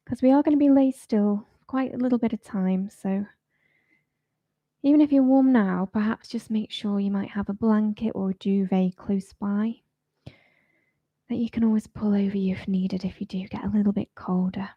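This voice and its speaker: A woman teaching yoga nidra, leading a guided relaxation in a soft, soothing, informal way. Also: medium pitch, fairly even intonation, normal speed, no room sound, highs cut off.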